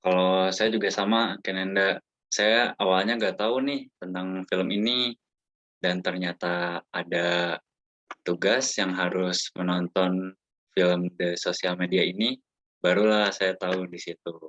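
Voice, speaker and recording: A young man from Bandung answers questions in a casual interview. His voice is deep, and his manner is easygoing and plain. The recording is dry. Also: fairly even intonation, measured pace, a bit bright, dry recording, lo-fi sound. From Bandung, Indonesia. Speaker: guest.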